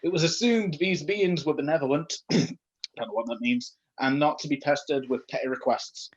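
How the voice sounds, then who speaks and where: A man's voice: a little high, moderate pace, husky, dull audio. A man reading a comic story aloud live, playful and self-mocking, often breaking off into chatty asides. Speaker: comedy performer reading fan fiction aloud.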